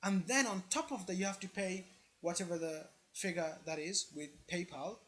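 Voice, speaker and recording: An online presenter talks through a comparison in an informal, explanatory way. The delivery is opinionated and emphatic, with frequent check-ins to the listener. The voice sits at a middling pitch. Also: moderate pace, a bit bright.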